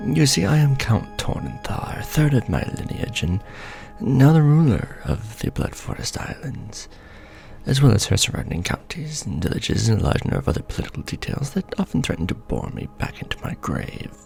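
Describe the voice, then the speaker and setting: Man acting out a gravelly, theatrical vampire lord. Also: medium pitch, very animated, moderate pace, a little mellow, soft background music. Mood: grandiose, courteous. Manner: pompous, theatrical, courtly. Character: ancient, pompous, scheming vampire lord, newly enthroned. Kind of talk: ASMR roleplay, dramatic monologue to the listener.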